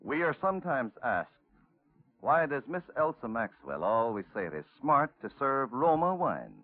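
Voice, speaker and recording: A man's voice: medium pitch, very animated, moderate pace, no room sound. A man announcing a scripted radio commercial in a formal, emphatic 1940s style. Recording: dry, tinny 1940s radio recording.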